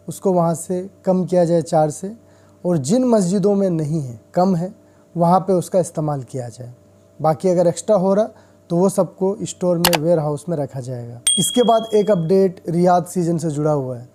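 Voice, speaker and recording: A man's voice: fairly high pitch, dark tone. A man with an Indian accent presenting an informal news update, explaining things directly to viewers with examples.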